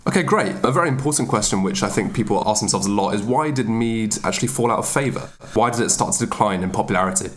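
A man hosting a podcast, asking questions in a brisk, upbeat way. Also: lowish voice, fairly bright tone. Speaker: podcast host.

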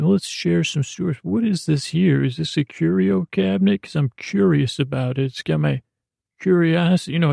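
A man's voice: mid-range voice, dark tone, dry recording, studio quality. A man hosting a bedtime podcast, rambling in a meandering, stammering way that trails off and changes subject. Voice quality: creaky, gravelly. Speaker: podcast host. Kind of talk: rambling bedtime monologue to help listeners sleep.

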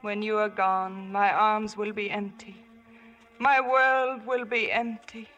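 A woman's voice: medium pitch, level tone, takes it slowly, fairly bright tone, dull audio. A woman playing a seductive, possessive Philistine temptress in theatrical, intense 1950s radio drama style. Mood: sorrowful. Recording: vintage radio broadcast with music.

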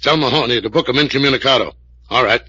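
An actor playing a businesslike, commanding American police captain in 1940s radio drama style. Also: male voice, medium pitch, fast, sharp tone, husky, no room sound. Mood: brisk, satisfied. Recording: dull vintage radio recording.

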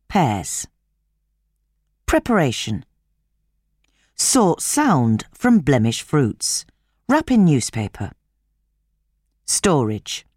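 A woman reading a scripted instructional article aloud as narrator, in measured, clearly separated phrases. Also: deep voice, darkish tone, dry recording, clean studio sound.

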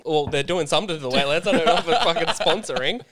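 A man in his thirties with an Australian accent, slightly hoarse, telling stories animatedly in casual chat. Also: on the high side, fast, slight background noise. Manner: animated storyteller, swears casually.

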